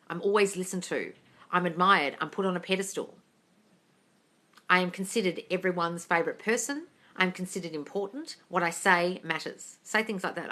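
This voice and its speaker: Bright, blunt woman answering chat. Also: lowish voice. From England. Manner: brash and blunt, swears freely, calls viewers darling; reads chat comments aloud, then answers them. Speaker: manifesting coach answering live chat questions. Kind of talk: casual livestream Q&A. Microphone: phone livestream.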